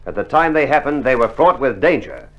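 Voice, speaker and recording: A man narrating a scripted 1960s radio war programme in a formal, measured manner. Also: mid-range voice, big pitch swings. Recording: muffled old vinyl. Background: faint music.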